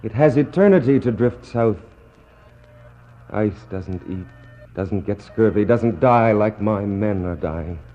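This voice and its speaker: An actor playing a weary, bitter, fatalistic Victorian naval officer stranded on an expedition, measured and wry in a 1960s radio play. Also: male voice, on the low side, animated. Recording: muffled 1960s radio recording.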